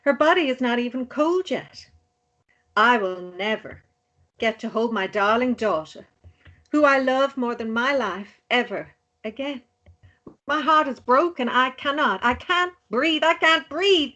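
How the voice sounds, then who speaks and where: A woman's voice: high-pitched, lively intonation, unhurried, heavily acted. A woman playing a grieving mother who holds herself together, speaking in a considered way at a table read. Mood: breaking down. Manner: soft, considered delivery. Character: grieving, restrained mother of a missing girl. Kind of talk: table-read dialogue acting.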